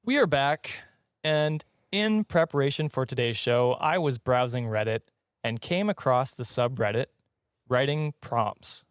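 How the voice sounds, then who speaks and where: A man's voice: medium pitch, measured pace, clean tone. A man from Canada hosting a podcast, telling stories in a casual, jokey way with banter. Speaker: podcast host. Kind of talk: casual comedic storytelling with banter.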